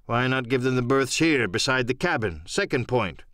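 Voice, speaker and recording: A man's voice: medium pitch, lively intonation, normal speed, fairly bright tone, no room sound, studio quality, natural voice. Man acting a blunt, stern sea captain. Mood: firm, insistent. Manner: clipped, formal, plain-spoken. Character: blunt, stern, dutiful middle-aged male sea captain. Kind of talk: audiobook radio-play acting.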